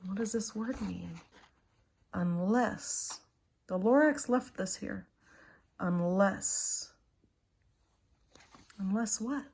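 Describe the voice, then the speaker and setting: A woman reads a children's story aloud in an expressive, dramatic way. She goes slowly at a middling pitch, lingering on the important words. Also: vocal fry, slightly rough. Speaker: storybook reader.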